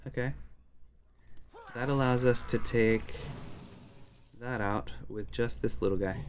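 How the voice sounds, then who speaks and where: A man's voice: mid-range voice, takes it slowly, a little hiss or hum. A man giving casual gameplay commentary, thinking aloud as he weighs his options. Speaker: host playing and commenting on a card game.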